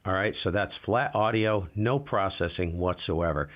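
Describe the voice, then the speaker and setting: A man with a very low voice running a casual live ham radio demo, talking to himself as he adjusts settings. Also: normal speed, lo-fi sound. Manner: relaxed, talks to himself while adjusting gear. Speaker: ham radio livestream host.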